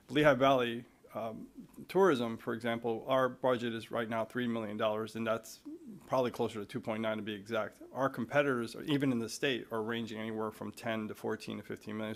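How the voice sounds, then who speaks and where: Conversational man giving testimony. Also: mid-range voice. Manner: conversational, repeats words when restarting. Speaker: tourism official testifying. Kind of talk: hearing testimony.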